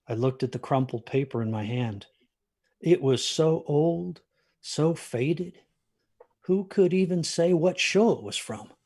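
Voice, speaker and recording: An actor playing a haunted older grandfather, husky-voiced, telling a ghost story of his youth with halting intensity. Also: a little high, animated, a little mellow, heavily acted. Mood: haunted, tense. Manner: halting, intense, repeats himself. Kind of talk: dramatic storytelling.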